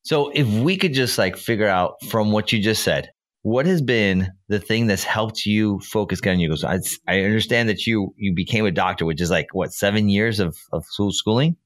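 A man's voice: fairly low pitch, normal speed, dry recording. A man born in Colombia hosting a casual podcast interview, enthusiastic and a bit rambling, repeating his praise. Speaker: podcast host.

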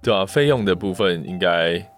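A man's voice: deep voice, animated. A man with a Taiwanese Mandarin accent co-hosting a podcast, chatting casually and often repeating or correcting himself. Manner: repetitive, halting self-corrections. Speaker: podcast co-host. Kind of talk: casual chat. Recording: voice over light background music. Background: faint background music.

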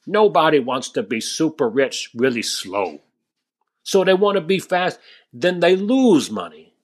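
A folksy old billionaire investor, as a man puts him on, hands out slow advice in a raised, lively voice. He drags his words out like a grandfather telling a story. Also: on the high side, slightly rough, pitched above the performer's own voice, full character voice.

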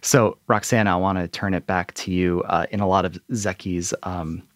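A man's voice: sits low, steady tone, moderate pace, no echo, clean studio sound. A man hosting an interview in an informal, appreciative, conversational way. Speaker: interview host.

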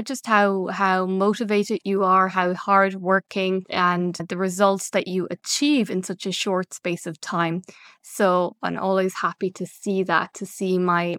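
A woman's voice: medium pitch, fairly bright tone, smooth, dry recording, studio quality. A woman from Ireland, an English teacher hosting her podcast in an upbeat, encouraging, conversational way. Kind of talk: casual solo podcast chat.

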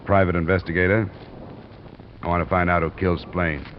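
An actor with an American accent playing a hard-boiled, wry private investigator in clipped, deadpan old radio style. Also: male voice, sits low, a little fast, natural voice. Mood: firm, calm. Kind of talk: 1940s-50s radio detective acting and narration. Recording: muffled, noisy old radio recording.

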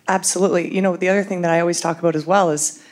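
Frank, low-voiced woman panelist. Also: fairly even intonation, racing, darkish tone. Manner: frank, forthright, opinionated. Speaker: transit executive panelist. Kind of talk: panel discussion.

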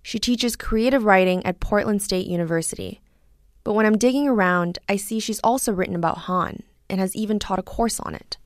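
A woman from Korea hosting a documentary podcast, narrating and interviewing softly with thoughtful pauses. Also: mid-range voice, no echo.

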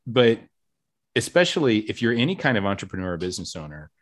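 A man's voice: on the low side, big pitch swings, quick pace. A man hosting a casual podcast interview, talkative and upbeat, with self-deprecating jokes. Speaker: podcast host.

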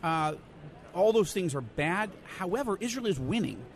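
A man giving conversational news analysis as an interview guest, halting at times and stressing key words. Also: high-pitched, very animated. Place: convention center.

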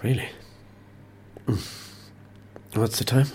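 A man's voice: drawn-out words, a little mellow, slight background noise. An actor playing a bewildered, nervous man in an audio drama, groggy and stammering as he talks. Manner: hesitant, stammering. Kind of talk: naturalistic audio drama acting.

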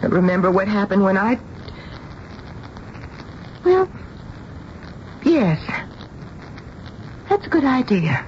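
Worried, earnest wife and storyteller, very lively intonation, seventies radio drama, slightly muffled sound. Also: female voice, medium pitch, slow, long pauses. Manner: earnest, fretful. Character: worrying, caring wife who also narrates the story. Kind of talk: 1970s radio drama acting and narration. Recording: dull, noisy old radio broadcast recording.

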